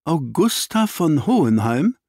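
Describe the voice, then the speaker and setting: A man voicing a shrewd, dry-witted gentleman detective in an audiobook, speaking formally and inquisitively. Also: on the high side, big pitch swings, measured pace, no echo, pitched above the performer's own voice, exaggerated voice. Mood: keen, dry.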